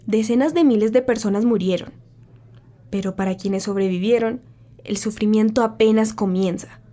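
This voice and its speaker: A woman's voice: medium pitch, normal speed, mellow, close to an everyday voice. A woman playing a solemn radio newsreader of the 1940s, delivering scripted war news in a formal, grave, dramatic way.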